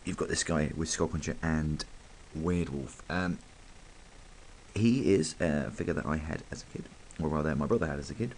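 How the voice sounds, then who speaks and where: A man with a croaky voice from hay fever, chatting casually and rambling a little as he reviews a toy. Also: extremely low pitch, moderate pace, darkish tone, a little hiss or hum, rough recording. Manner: chatty, rambling, full of ums.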